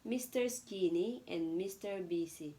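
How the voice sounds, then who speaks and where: A woman reading a storybook to her child as a mother, patiently pausing to chat and answer. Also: medium pitch, mellow, slight background noise.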